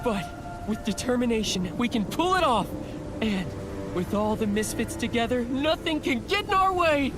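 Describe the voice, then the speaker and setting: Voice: medium pitch, lively intonation, normal speed, close to an everyday voice. An actor voicing an earnest, timid but brave young misfit guardian in a video game, sincere and a little hesitant. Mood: resolute. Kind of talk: video game cutscene acting. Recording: music behind the voice.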